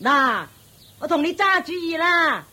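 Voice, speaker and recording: A woman's voice: extremely high pitch, sing-song, a little slow, pushed and bright, heavily acted. An actress playing a scornful, bossy mother-in-law in a radio drama, sneering and hectoring in Hong Kong Cantonese.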